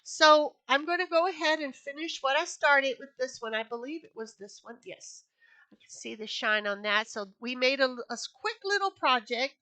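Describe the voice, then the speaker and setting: A woman hosting a casual craft tutorial, chatty and a bit sniffly from allergies, often repeating herself and filling pauses. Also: sits high, animated, bright, forceful tone, no room sound. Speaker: craft channel host. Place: home craft room.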